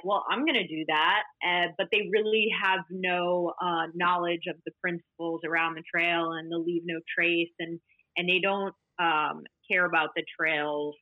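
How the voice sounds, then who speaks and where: A woman's voice: lowish voice, no echo. Woman, hiker guest, reflective, muffled lo-fi sound, dry. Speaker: guest, long-distance hiker. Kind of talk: conversational interview. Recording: dull lo-fi, dry.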